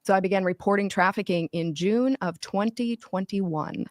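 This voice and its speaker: A woman's voice: medium pitch, normal speed, slight background noise. Fluent woman guest telling a story. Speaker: interview guest, volunteer. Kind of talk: interview.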